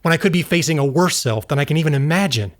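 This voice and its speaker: A man's voice: fairly high pitch, lively intonation, fast, natural voice. A man playing an earnest, troubled character in an audio drama, making sincere, intense appeals. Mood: earnest, uneasy.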